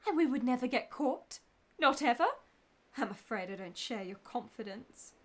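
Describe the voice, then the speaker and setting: A woman's voice: on the high side, lively intonation, normal speed. An actress playing a passionate, guilt-ridden married woman in a period radio drama, with theatrical emotion and formal phrasing. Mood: resigned.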